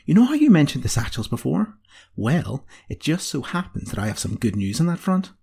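A man voicing a cheeky, excitable nineteen-year-old female apprentice with a pert, eager, girlish manner. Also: mid-range voice, moderate pace, vocal fry, slightly rough, exaggerated voice. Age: young adult.

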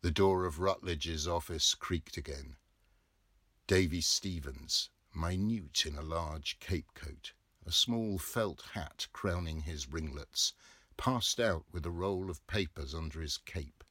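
A man in his fifties narrating a literary novel with measured, deliberate phrasing. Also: low-pitched, a bit bright, no echo, clean studio sound. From England. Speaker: audiobook reader.

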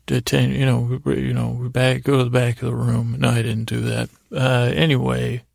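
A middle-aged man with a gravelly, creaky voice hosting a sleep podcast, rambling in a halting, silly bedtime monologue that repeats and trails off mid-thought, close on the microphone. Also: medium pitch, little pitch movement, darkish tone, dry recording. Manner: silly, absurd asides.

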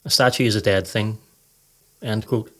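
A man hosting a podcast, reading a scripted guided meditation in a calm, measured, instructive way. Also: fairly low pitch.

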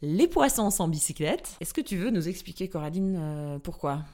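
Podcast co-host, woman, non-native French speaker, low voice, earnest and quick to laugh. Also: very animated, mellow. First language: not French. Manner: earnest, laughs easily, rephrases as she talks. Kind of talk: casual podcast chat. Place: studio in a Swiss city.

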